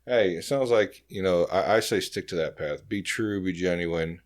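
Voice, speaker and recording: A man's voice: sits low, moderate pace. A man hosting a casual podcast chat, speaking in an informal, encouraging way. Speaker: podcast host.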